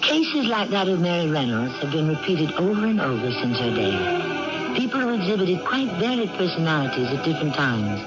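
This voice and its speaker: A man hosting a 1980s radio drama, reading scripted narration with formal, deliberate, dramatic phrasing. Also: fairly high pitch. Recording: dull old radio broadcast over music.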